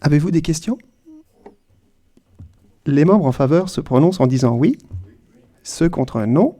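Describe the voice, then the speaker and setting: A man chairing a town council meeting, reading formal statements in a measured way. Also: fairly high pitch, animated, warm tone. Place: council chamber.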